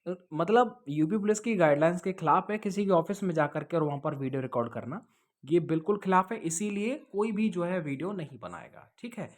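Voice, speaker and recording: A man's voice: high-pitched, lively intonation, fairly quick. Man explaining things conversationally. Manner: conversational, advising, often checks with "okay?" and "understood?". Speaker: host answering viewers' questions about police jobs. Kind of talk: casual explanatory Q&A to camera.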